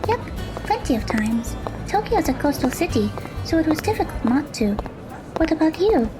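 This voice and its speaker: A woman acts out a cheerful teenage Japanese schoolgirl for an audio drama. The voice is very high and swings freely in pitch. She comes across as easygoing and curious, with music playing underneath. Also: big pitch swings, normal speed. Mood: friendly, curious.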